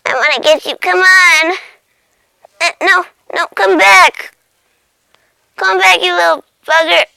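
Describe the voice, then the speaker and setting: Woman as a high, whiny little kitty. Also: lively intonation, unhurried, creaky, bright, forceful tone, no room sound, full character voice. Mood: frantic, excited. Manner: whiny, repetitive, babyish self-narration with meows. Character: childish, moody, excitable little pet house cat. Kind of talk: comic voice-over of a pet's thoughts.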